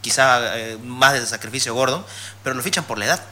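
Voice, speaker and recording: Fast-talking Bolivian football pundit. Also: male voice, mid-range voice, bright, forceful tone. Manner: quick, explanatory, many restarts. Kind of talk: casual sports talk.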